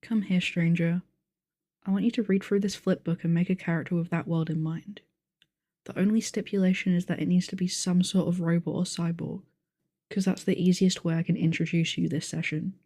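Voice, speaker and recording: A woman's voice: fairly low pitch, level tone, brisk, dark tone, dry recording, studio quality. A woman playing a strict, blunt game master of a nonhuman species, matter-of-fact and pedantic, in an ASMR audio roleplay. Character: strict, blunt, rule-following game master with sensitive ears, female nonhuman.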